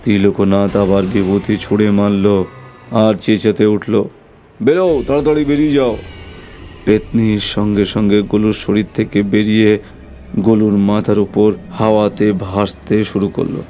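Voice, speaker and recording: A man's voice: on the low side, monotone, a little slow, dark tone, soft background music. A man narrating a scripted horror story as the storyteller.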